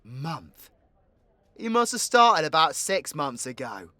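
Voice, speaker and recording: A man's voice: a little high, big pitch swings, slow, sharp tone, no room sound, full character voice. A British man playing a foul-mouthed, sardonic supervillain in an audio roleplay, mocking and full of casual slang. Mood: scornful. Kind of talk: audio roleplay drama acting.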